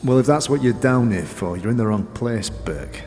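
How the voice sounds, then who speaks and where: An actor playing a crude, bitter, boastful dead thief, a talking corpse with gruff, grumbling, slangy speech, in a fantasy audio drama. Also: male voice, medium pitch, animated, moderate pace, darkish tone, natural voice. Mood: boastful, scornful. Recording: long reverberant echo, music underneath.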